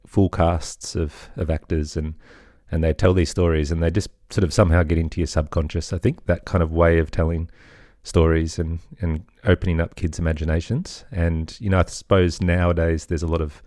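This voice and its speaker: A man's voice: low voice, little pitch movement, moderate pace, darkish tone, no room sound, clean studio sound. A middle-aged man with an Australian accent, chatting casually as an interview guest in a tentative, rambling way. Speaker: podcast guest, musician.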